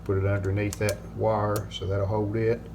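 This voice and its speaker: An older man giving a hands-on repair walkthrough in plain-spoken, halting phrases. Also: lowish voice, completely flat delivery, gravelly, background noise.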